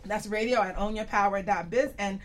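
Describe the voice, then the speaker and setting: A woman's voice: mid-range voice, fairly quick. A woman hosting a casual radio show in a chatty, giggly way, often laughing as she talks.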